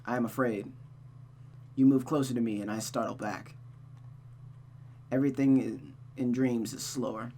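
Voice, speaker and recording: A reader voicing an anguished, brooding male lover from a visual novel in a slow, hushed, halting delivery, over a noisy recording. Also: mid-range voice, fairly even intonation, close to an everyday voice.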